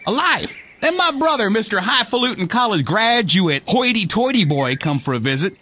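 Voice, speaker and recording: Man doing a high-pitched, cranky redneck everyman. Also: big pitch swings, normal speed, bright, forceful tone, exaggerated voice. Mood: wry, weary. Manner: folksy, wisecracking, exasperated. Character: brash, cranky redneck everyman, last human alive. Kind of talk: comic radio-play acting.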